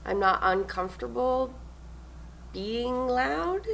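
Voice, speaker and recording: A young adult woman from New Zealand, a stage manager answering interview questions in a loud, upbeat way, often laughing. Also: a little high, expressive, takes it slowly, creaky, a little hiss or hum, low-quality recording.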